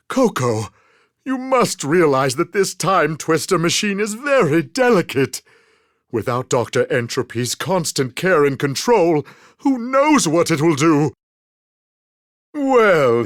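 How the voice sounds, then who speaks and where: A man's voice: on the high side, a bit bright, no echo, studio quality, exaggerated voice. A man voicing a pompous, scheming, exasperated mad scientist villain in a theatrical video game style. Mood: scolding.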